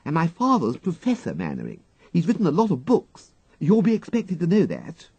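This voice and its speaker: A man's voice: fairly high pitch, wildly swooping pitch, moderate pace, a little mellow, breathy edge, no echo, pitched above the performer's own voice, heavily acted. A man voicing a twelve-year-old English schoolgirl in a storybook, cheeky and gushing in her chatter. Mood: bright.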